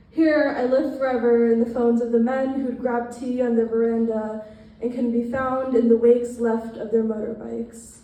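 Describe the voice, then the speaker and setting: Very echoey room, woman, higher-pitched voice, deliberate spoken-word poetry reading. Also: warm tone. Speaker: poet performing at open mic. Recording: very echoey room, distant mic.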